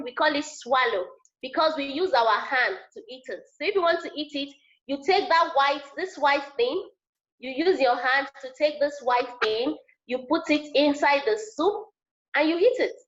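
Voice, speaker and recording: A high-pitched woman with a Nigerian accent, whose first language is Yoruba, chats as a guest speaker to language students. She gets animated and repeats herself to make a point. The sound is dull and lo-fi, like a video call. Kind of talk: casual explaining to a class.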